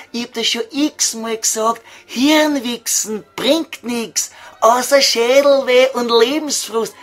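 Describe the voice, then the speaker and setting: A man's voice: extremely high pitch, a bit bright, full character voice. A man voicing a crude, scheming devil who taunts and coaxes in Viennese dialect in a comic radio play. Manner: slow, sly, vulgar coaxing.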